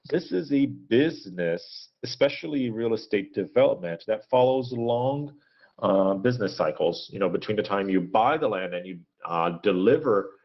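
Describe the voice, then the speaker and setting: Guy with kinda deep voice doing an earnings call, halting businesslike delivery, muffled lo-fi audio. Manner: halting, measured business delivery with frequent hesitations. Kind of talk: corporate earnings call presentation and question answering, unscripted. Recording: dull, lo-fi conference call audio.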